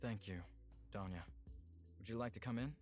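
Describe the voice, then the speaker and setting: A man voicing a calm, caring, patient man in a visual novel, speaking in a measured and reassuring way. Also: lowish voice, faint music behind, natural voice. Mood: calm, knowing.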